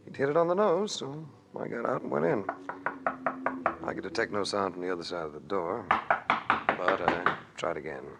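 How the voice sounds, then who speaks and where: Hardboiled adventurer, lowish voice, dry wisecracks, noisy old radio show. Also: male voice, lively intonation, moderate pace. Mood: wry narrating. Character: wry, hard-boiled male adventurer telling his own story. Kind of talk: 1940s radio detective narration and acting.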